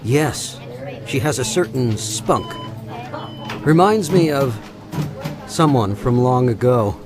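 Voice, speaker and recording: A man playing a wealthy, self-important older schemer in an audio drama, pompous and smooth-talking. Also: medium pitch, animated, slow pace, music in the background. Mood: calculating.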